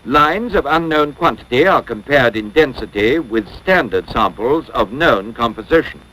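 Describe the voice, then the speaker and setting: Male narrator, 1950s instructional film: scripted, formal and measured, mid-pitched with animated intonation. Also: low-quality recording. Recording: 1950s educational film soundtrack.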